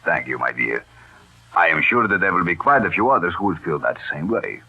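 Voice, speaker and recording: An actor playing a smooth, evasive villainous doctor in an old radio drama, speaking with deliberate formality. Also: male voice, low voice, big pitch swings. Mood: coolly menacing. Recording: muffled, lo-fi old radio recording.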